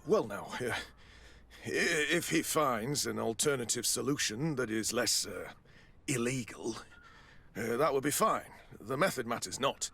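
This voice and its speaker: An actor playing a smooth-talking, evasive official in a video game, formal and polite but demanding. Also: male voice, mid-range voice, expressive, measured pace, a bit bright, exaggerated voice. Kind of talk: video game cutscene acting.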